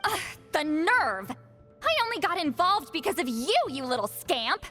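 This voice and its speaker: High-voiced, sassy young spirit seer. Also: female voice, moderate pace, exaggerated voice. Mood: indignant, bossy. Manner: brash, teasing, expressive. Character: sassy, proud young female spirit seer, secretly flustered. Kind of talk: animated video game cutscene acting. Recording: long echoing reverb, music underneath.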